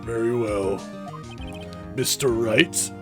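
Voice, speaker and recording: A man voicing a solemn, easily rattled old judge in a video game, with a gravelly voice. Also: mid-range voice, slow, over music, close to an everyday voice. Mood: impressed, measured.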